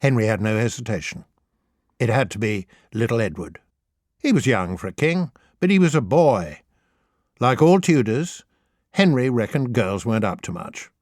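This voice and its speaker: Expressive man narrating, dry close sound. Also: mid-range voice, normal speed, dry recording. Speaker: audiobook narrator. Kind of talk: scripted narration of humorous children's history.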